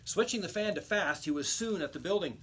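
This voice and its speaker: Animated man reading a children's story. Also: high-pitched, a little fast, husky. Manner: expressive, emphatic storytelling. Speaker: read-aloud teacher. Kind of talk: expressive children's storybook narration.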